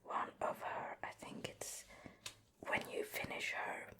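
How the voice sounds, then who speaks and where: A woman doing an ASMR show and tell in a soft, breathy whisper close to the microphone, gently murmuring her commentary. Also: slow. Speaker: ASMR video creator. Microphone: close microphone, ear-to-ear ASMR recording.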